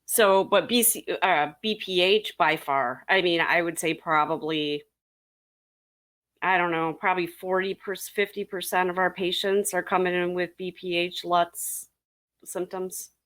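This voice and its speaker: A woman's voice: lowish voice, fairly even intonation, measured pace, a bit bright. A woman urology nurse chatting on a panel, rambling with restarts and clinical jargon. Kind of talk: casual panel chat.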